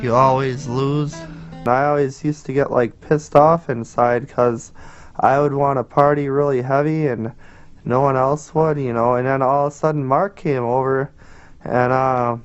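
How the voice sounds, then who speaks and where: A man's voice: medium pitch, fairly even intonation, a little slow, clear, resonant tone. Rambling man in muffled documentary audio. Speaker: film subject. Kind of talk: documentary interview. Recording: muffled film audio with guitar music.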